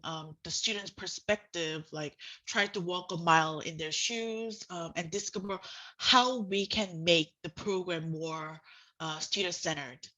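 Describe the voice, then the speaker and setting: Bright-voiced woman moderating a panel. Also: fairly low pitch, low-quality recording. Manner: halting, informal phrasing with frequent "like". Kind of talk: semi-formal presenting and interviewing. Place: online video call with screen sharing. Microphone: video call.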